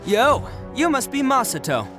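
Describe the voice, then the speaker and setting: A man's voice: very high-pitched, wildly swooping pitch, moderate pace, music in the background, heavily acted. An actor voicing a brash, proud young male oni gang leader in a game, boastful with sudden earnest turns. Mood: breezy. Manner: boastful, swaggering, drops into earnest quiet. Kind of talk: game voice acting.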